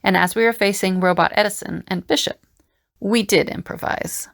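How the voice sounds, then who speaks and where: Wry female adventurer acted by a woman, fry-edged, dry close recording, measured scripted storytelling. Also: mid-range voice, steady tone, fairly bright tone, dry recording. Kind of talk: scripted audio drama narration.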